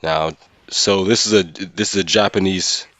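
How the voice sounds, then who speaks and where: Very low-voiced man on a casual livestream. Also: fairly even intonation, moderate pace, a bit bright, no room sound. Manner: informal, slangy, checks in often with listeners. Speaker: streamer and host of a gaming channel.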